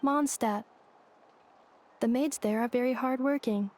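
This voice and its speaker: A woman voicing a polite, literal humanoid maid robot in a video game, with measured, detached, clipped phrasing. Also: fairly high pitch, a little mellow, slight background noise, natural voice.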